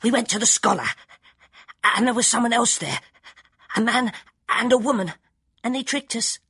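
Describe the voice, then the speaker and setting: A man doing an anxious, husky animal spirit. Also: extremely high pitch, moderate pace, pushed and bright, no room sound, clean studio sound, pitched above the performer's own voice, full character voice. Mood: rueful. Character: anxious shape-shifting animal companion spirit, male.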